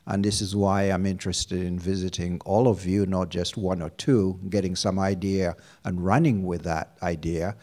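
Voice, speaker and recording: Man, middle-aged, from Uganda, very low voice, handheld mic, measured pace, lots of fillers. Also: fairly even intonation, a little mellow. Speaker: university provost. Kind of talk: live campus interview. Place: lounge stage before an audience. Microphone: handheld microphone.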